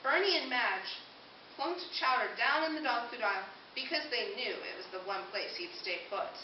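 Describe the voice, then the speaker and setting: A woman narrating a children's puppet show, telling the story in an animated way and putting questions to listeners. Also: on the high side, moderate pace. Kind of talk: children's storytelling to a live audience. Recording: roomy, distant, dull audio with hiss.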